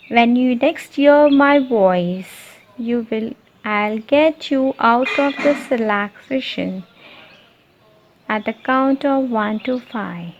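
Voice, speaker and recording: A woman leading a guided meditation, giving repetitive, soothing instructions with deliberate pauses. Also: high voice. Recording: muffled lo-fi, noisy, birdsong behind. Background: birdsong and ambient nature sounds.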